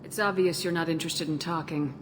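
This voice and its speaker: A woman's voice: fairly even intonation, fairly quick, close to an everyday voice. An actress playing a tough, wary female military commander in a video game, blunt, direct and challenging. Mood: firm, wary. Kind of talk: video game dialogue acting. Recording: reverberant, noisy game audio with rumble.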